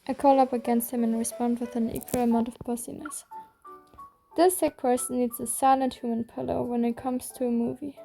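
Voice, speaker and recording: A woman reading a game's story aloud in a steady first-person voice. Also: fairly high pitch, brisk, vocal fry, soft background music. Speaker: reader.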